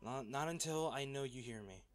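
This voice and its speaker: A man playing a wealthy, devoted and distraught lover in an intimate audio roleplay, speaking earnestly and haltingly. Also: mid-range voice, natural voice. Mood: regretful, tender. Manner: halting, emotional, earnest delivery with pauses.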